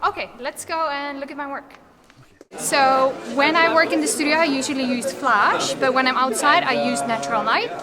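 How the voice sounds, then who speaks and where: A woman's voice: sits high, moderate pace. A woman from Iceland, plainly explaining her photography to visitors. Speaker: photography student. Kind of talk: presenting her portfolio at an exhibition. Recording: loud crowd around. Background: loud crowd chatter.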